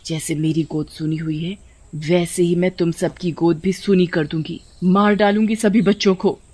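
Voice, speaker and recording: A woman's voice: fairly low pitch, fairly even intonation, moderate pace, a little mellow, husky. A woman voicing a giant female serpent in a horror story, a grieving mother who is menacing, taunting and bent on revenge. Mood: bitter vengeance. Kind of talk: Hindi horror cartoon story acting.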